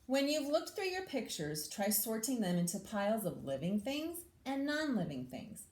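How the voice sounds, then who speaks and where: A woman's voice: on the high side, sing-song, normal speed, darkish tone, slight background noise. A woman teacher reading a picture book aloud to children, adding simple explanations and questions for her young listeners. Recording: echoey room sound.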